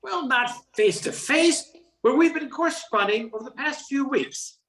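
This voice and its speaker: A woman's voice: sits high, animated, moderate pace, a bit bright. An older woman playing a brusque, easily flustered young male professor in an amateur radio play, with a stiff, indignant manner. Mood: brisk, insistent. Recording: roomy, echoing sound.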